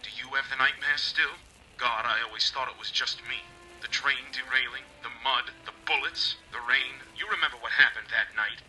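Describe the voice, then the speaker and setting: An actor playing a haunted, terrified war veteran on the telephone, frantic and pleading, in old-time radio style. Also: male voice, medium pitch, moderate pace, sharp tone, soft background music, rough recording. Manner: frantic, pleading, dread-filled.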